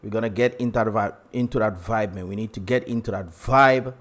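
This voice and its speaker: A man's voice: on the low side, brisk. A young man with a Dominican accent hosting a casual livestream, chatty and laughing often as he greets viewers. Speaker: livestream host.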